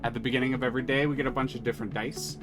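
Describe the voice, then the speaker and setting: A man's voice: medium pitch, steady tone, rapid-fire. Rambling enthusiastic male streamer. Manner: rambling, enthusiastic, many restarts. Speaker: streamer playing a game. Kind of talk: casual chat, explaining game mechanics. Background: music.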